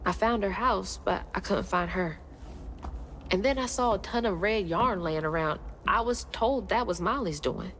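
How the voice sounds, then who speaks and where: An actress playing a compassionate, determined young woman in a video game cutscene, speaking gently and earnestly. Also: mid-range voice, normal speed, a little hiss or hum, lightly acted. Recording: music clearly behind the voice.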